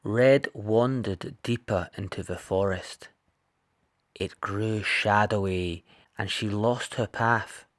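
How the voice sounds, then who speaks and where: A man narrating a children's picture book in an expressive read-aloud style. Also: on the low side, slow. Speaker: storybook reader.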